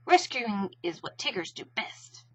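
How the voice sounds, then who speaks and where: A woman's voice: medium pitch, sing-song, measured pace, bright, forceful tone, husky, highs cut off, heavily acted. A woman voicing a bouncy, cocksure male tiger, breezy and boastful, in a storybook reading. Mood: confident.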